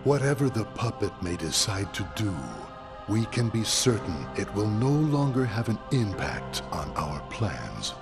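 A cold leader of a sinister cloaked order in a video game. His low voice swells and falls in grand, formal phrases, unhurried over background music. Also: very animated. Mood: measured menace. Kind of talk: dramatic video game voice acting.

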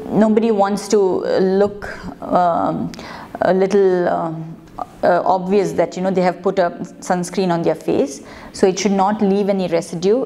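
Warm-voiced doctor explaining calmly. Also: female voice, mid-range voice. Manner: measured, explaining with frequent "uh" pauses. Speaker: dermatologist, channel host. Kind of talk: explanatory talk to camera. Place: clinic office, indoors. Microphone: lapel mic.

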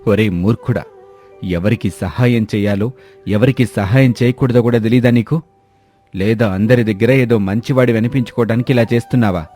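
Cartoon village tale: stern, grudge-bearing elder brother, played by a male narrator, mellow timbre, music underneath. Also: fairly low pitch, normal speed, close to an everyday voice. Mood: angry, bitter. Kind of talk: dramatised moral story dialogue.

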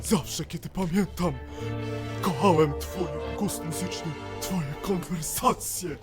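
A man voicing a gentle, pleading earworm creature in an amateur Polish cartoon dub. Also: high-pitched, slow pace, over music. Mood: pleading, tender.

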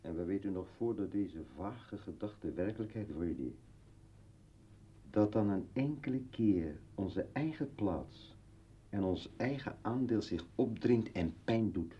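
An actor playing a brooding, philosophical old man with bitter irony in a declamatory, halting radio play monologue. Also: fairly low pitch, lively intonation, a little hiss or hum. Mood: somber, reflective. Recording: dull vintage radio drama recording.

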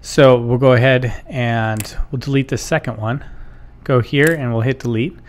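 A man, explaining software step by step in an informal, instructional way. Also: mid-range voice, fairly even intonation, normal speed. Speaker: software tutorial presenter. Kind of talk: casual instructional screen-share narration.

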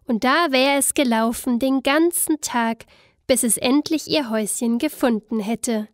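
A woman's voice: sits high, a little slow, dry recording, studio quality. A woman narrating a scripted fairy tale expressively, with careful storytelling phrasing.

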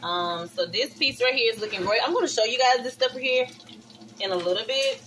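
A Southern woman in her thirties talks to her viewers while cooking in a small home kitchen, calling them y'all and honey. Her voice is bright and a little high. The camera sits on the counter, and faint kitchen sounds like a running tap come through behind her. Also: brisk, a little hiss or hum. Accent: Southern American. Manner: chatty and excitable, calls viewers y'all and honey. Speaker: vlogger. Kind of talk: casual cook-along chat. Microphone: phone or camera set on the counter, she moves around it.

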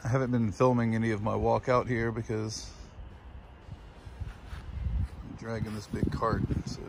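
A man's voice: lowish voice, little pitch movement, a little slow, creaky, darkish tone, slight background noise. A man vlogging casually, explaining step by step how he set up his minivan camper and walking through a wooded junkyard, filmed on a handheld phone. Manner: plain, practical, explains step by step. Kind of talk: casual show-and-tell narration. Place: inside a minivan, then outdoors in a wooded junkyard.

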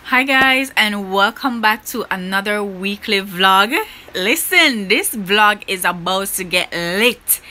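A woman's voice: medium pitch, sharp tone, a little hiss or hum. A young woman talking casually to her camera in a bedroom, upbeat and chatty with her viewers. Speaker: vlogger. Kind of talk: casual vlog talk to camera. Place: small bedroom indoors.

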